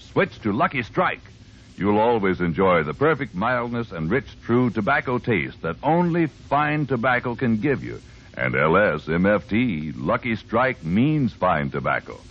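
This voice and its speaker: An American man reading a 1950s radio advertising pitch as a commercial announcer. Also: a bit bright, breathy edge. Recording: dull vintage radio recording.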